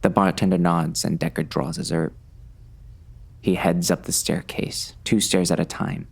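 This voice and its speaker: Man acting a low, gravelly, uneasy western gunman. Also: little pitch movement, unhurried, heavily acted. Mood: tense, wary. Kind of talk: first-person audiobook narration, present tense.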